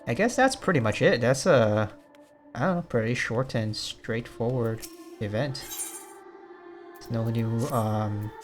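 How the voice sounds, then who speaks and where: Guy doing laid-back rambly game commentary, medium pitch, music playing behind him. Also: expressive, measured pace, pauses often. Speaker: gaming video host. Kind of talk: casual let's play commentary.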